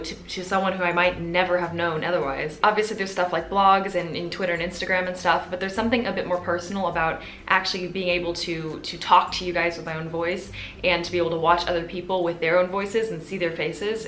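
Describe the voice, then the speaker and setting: A woman in her twenties with a soft, quiet voice, chatting casually to camera as a video host. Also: lowish voice. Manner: soft-spoken, chatty with fillers. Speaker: YouTuber. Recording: roomy echo.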